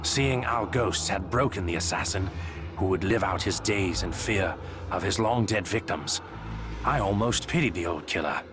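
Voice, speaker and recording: A man's voice: low-pitched, moderate pace, music in the background, close to an everyday voice. An actor playing a sombre, guilt-ridden father narrating a fantasy game tale in a grave, regretful manner. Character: sombre, guilt-ridden father recounting his dark bargain.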